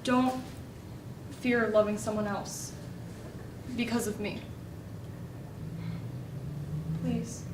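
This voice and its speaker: A woman's voice: a little high, fairly even intonation, takes it slowly, noisy background. A young woman acting in a stage play as Marie, a partner worn down by belittling, speaking with bitter emotion, heard from far off in an echoing hall. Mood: sorrowful. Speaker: student actor. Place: school stage. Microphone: distant audience camera. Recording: echoey stage recorded from far off.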